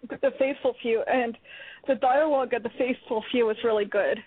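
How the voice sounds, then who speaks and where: A woman's voice: a little high, fairly even intonation, normal speed, husky, dry recording. A woman giving her thoughts on a play on a radio show, hesitant and full of ums. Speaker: playwright and guest. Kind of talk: casual radio discussion. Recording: dry phone line.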